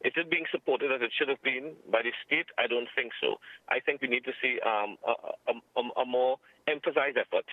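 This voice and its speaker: Man, Trinidadian accent, dry telephone sound, medium pitch, formal and emphatic, measured expert commentary. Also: no room sound. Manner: emphatic, measured, formal, repeats phrases for stress. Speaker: interviewed security expert. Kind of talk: phone interview commentary. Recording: muffled narrow-band telephone line.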